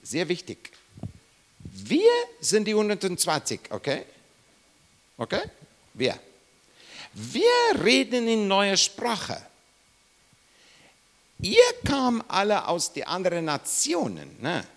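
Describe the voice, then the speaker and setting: Emphatic preacher man, swooping pitch. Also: wildly swooping pitch, slow, long pauses. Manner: emphatic, rising and falling sharply, repeats questions to listeners. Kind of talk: Bible teaching sermon.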